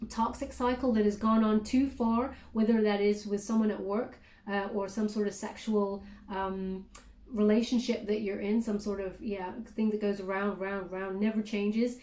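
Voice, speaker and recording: A woman with a warm, mellow tone gives a casual tarot reading in a roomy, echoing space. She talks in a loose, chatty way, full of fillers and asides, and often checks in with "okay?". Her pitch sits in the middle for a woman. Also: flat delivery, moderate pace. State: clearing throat, voice catching. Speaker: tarot reader.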